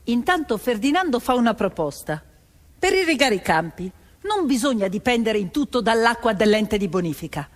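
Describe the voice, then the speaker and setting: A woman acting a proud peasant wife who tells of her family's toil in expressive, measured theatrical narration, voicing others as she goes. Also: on the high side. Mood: earnest, wry. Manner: expressive, measured storytelling, voicing quoted neighbours and relatives. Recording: music behind the voice.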